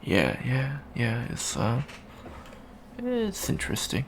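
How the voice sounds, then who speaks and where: Gravelly, awkward young alien student voiced by a man. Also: mid-range voice, wildly swooping pitch, slow, noisy background, exaggerated voice. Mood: awkward, chatty. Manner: hesitant, stammering, awkward small talk. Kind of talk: improvised ASMR roleplay, casual chat.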